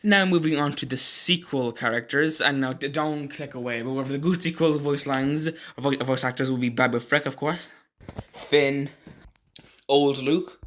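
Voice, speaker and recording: A man's voice: medium pitch, normal speed, a bit bright. A man hosting a casual ranking commentary in a hesitant, rambling, opinionated way. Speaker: video host ranking game voice actors.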